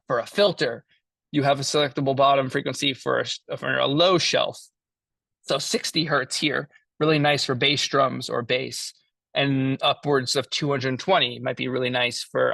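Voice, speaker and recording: A man giving an informal lesson as an audio engineer, explaining his tools in a halting way as he thinks aloud. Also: medium pitch, creaky, a bit bright, no room sound. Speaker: mixing engineer teaching a class.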